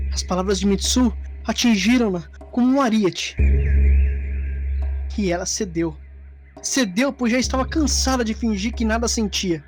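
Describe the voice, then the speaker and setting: A woman's voice: medium pitch, darkish tone. A woman narrator reading a scripted story in a dramatic storytelling style. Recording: music under the voice. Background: background music.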